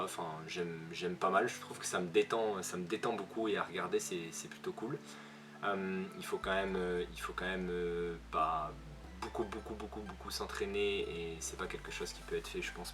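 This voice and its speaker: A young man with a northern French accent reviewing manga casually, with many fillers and self-corrections. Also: deep voice. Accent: Northern French (ch'ti). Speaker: manga video host. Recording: music playing behind.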